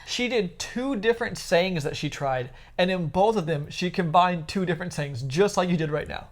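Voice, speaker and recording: A woman's voice: lowish voice, animated, moderate pace. A woman co-hosting a podcast, chatting casually and giving opinions in an emphatic, teasing way. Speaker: podcast co-host.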